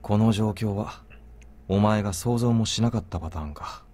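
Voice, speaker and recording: A man's voice: on the low side, fast, mellow, lightly acted. A man voicing a blunt, protective young man in a visual novel, terse and matter-of-fact. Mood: guarded. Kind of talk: Japanese visual novel voice acting.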